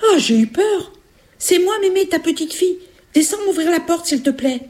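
A woman as a high-voiced innocent little girl. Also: animated, moderate pace, a little mellow. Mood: eager, childlike. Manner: high, childlike, simple. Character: polite, innocent little girl in a fairy tale.

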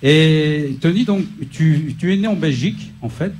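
A man's voice: fairly high pitch, expressive, unhurried, a little mellow, a little hiss or hum. Man, interview host, hesitant, filler-heavy, studio set. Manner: hesitant, many fillers and restarts. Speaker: local TV show host.

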